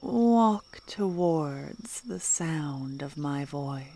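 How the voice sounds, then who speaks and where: A woman voicing a flirtatious, mysterious female fortune teller in an ASMR roleplay, teasing in a soft whisper. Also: deep voice, animated, extremely slow, a little hiss or hum, pitched below the performer's own voice, heavily acted.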